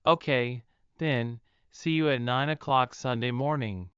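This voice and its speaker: A man's voice: medium pitch, unhurried, fairly bright tone, smooth, no echo, dull audio, close to an everyday voice. A man playing a polite, mild and earnest writer in an audiobook drama, considerate and plain-spoken.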